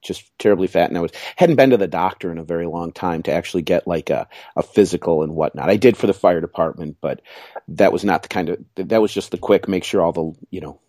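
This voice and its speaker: Man, middle-aged, lowish voice, dry close sound, jokey rambling podcast host. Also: fast, mellow, no echo. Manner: jokey, rambling, self-mocking. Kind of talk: casual chat.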